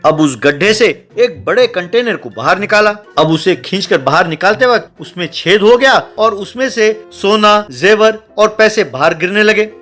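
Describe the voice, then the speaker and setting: Male narrator for scripted comedy stories: very high, sharp tone, light music underneath. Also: expressive, moderate pace, few pauses. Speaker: story narrator.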